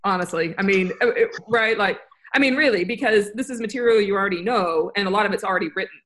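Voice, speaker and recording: A woman's voice: mid-range voice, quick pace, fairly bright tone. A woman talks through course plans on a video call. She rambles and corrects herself as she goes, staying upbeat. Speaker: course producer. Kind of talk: casual video call meeting.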